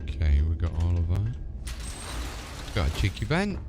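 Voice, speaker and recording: A man's voice: low-pitched, very animated, normal speed, background noise. A British man giving casual, chatty gameplay commentary, thinking aloud in an informal way as a streamer. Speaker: video game streamer. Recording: game music behind the voice.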